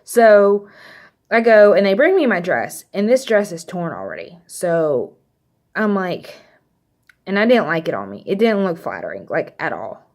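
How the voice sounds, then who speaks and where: A woman's voice: lowish voice, very animated, measured pace, darkish tone. A young woman with a slight Texas accent, casually telling a story to camera in a chatty, rambling way with lots of fillers. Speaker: vlogger.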